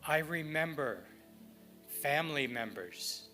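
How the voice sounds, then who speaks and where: Slow, bright-voiced man leading devotions. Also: a little high, expressive, soft background music. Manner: deliberate, reverent, short phrases with pauses. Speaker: spiritual practitioner leading readings and prayer at a service. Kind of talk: inspirational readings and spoken prayer.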